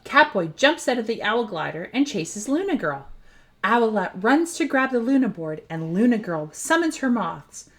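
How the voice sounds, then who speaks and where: A woman reading a storybook aloud for young children in an animated, expressive storytelling style. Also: on the high side, normal speed. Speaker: children's book reader.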